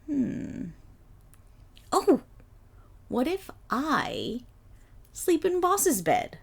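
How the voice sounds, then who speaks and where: Casting: actress as bubbly anime-style dog girl for audio roleplay, very high voice, swooping delivery, eager and affectionate. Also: wildly swooping pitch, extremely slow, vocal fry, full character voice. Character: excitable affectionate dog girl, playful and silly. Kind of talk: ASMR roleplay acting.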